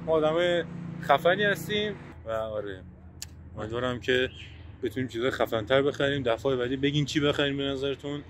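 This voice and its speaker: A young man co-hosting a casual vlog outdoors, excitable and playful. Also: medium pitch, a little hiss or hum. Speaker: co-host.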